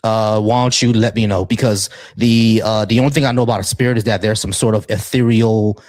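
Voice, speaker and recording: A man's voice: lowish voice, steady tone, brisk, a little mellow, dry recording. A man hosting and moderating a live debate panel, insistent and challenging, often repeating himself.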